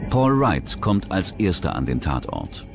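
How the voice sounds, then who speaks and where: Deep-voiced male true-crime narrator over music. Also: very animated, unhurried, gravelly. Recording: music bed under narration.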